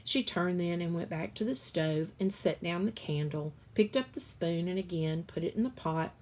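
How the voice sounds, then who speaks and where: A woman reading a children's novel aloud as an expressive storyteller, with dramatic pauses. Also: on the low side, rough recording.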